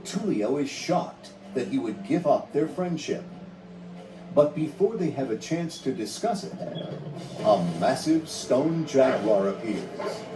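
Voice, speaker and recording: A man narrating a scripted storybook read-along in a measured, dramatic storytelling manner. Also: medium pitch, lively intonation, roomy sound, far from the mic, music in the background, poor audio.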